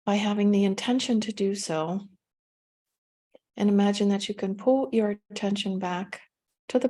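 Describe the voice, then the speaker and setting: A woman with a soft-edged, mellow voice guides a meditation. Her pitch sits in an ordinary middle range for a woman. She gives gentle instructions without hurry, leaving space between phrases. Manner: gentle, unhurried instruction with pauses.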